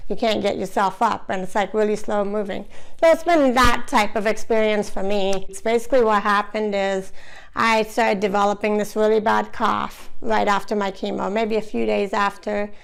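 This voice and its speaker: A woman hosting her own channel, talking to camera in a casual, chatty way with lots of "you know" fillers, her voice hoarse. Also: mid-range voice, steady tone, normal speed, rough recording. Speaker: vlogger, channel host.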